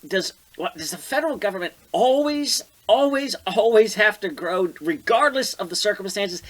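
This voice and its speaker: Male American co-host, casual bar-table chat, slightly high voice with very animated intonation. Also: moderate pace. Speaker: podcast co-host. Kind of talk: casual chat over a beer.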